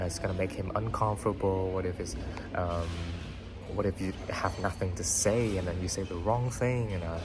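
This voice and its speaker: A young man with a very low voice talks loosely through a motivational story, his first language Vietnamese. He rambles with fillers and keeps checking in with "right?". Clear music plays behind him the whole time. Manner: rambling, many fillers and tag questions. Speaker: podcast host. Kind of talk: casual motivational monologue. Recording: background music under voice.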